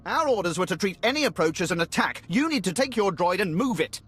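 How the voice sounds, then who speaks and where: A rigid young-sounding soldier on guard in a sci-fi game. His voice is high and animated, his manner curt and uneasy. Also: fast, breathy edge, full character voice. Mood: tense, stern. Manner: curt, military. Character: rigid, nervous male imperial guard soldier.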